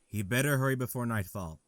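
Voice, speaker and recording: A man playing a gruff, weary and reluctant guide in a fantasy visual novel. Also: medium pitch, a bit bright, no room sound, lightly acted. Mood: impatient. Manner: grudging, weary.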